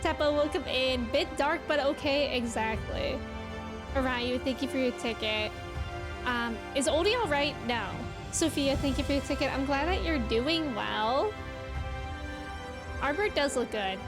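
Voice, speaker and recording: Casting: high-pitched female streamer, expressive casual reactions, unhurried delivery, game music underneath.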